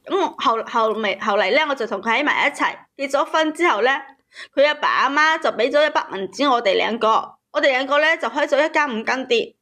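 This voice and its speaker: A high-pitched woman tells her own story as a caller. She speaks emphatically and repeats phrases as she goes. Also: animated, brisk, sharp tone. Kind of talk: emotional personal storytelling to a host.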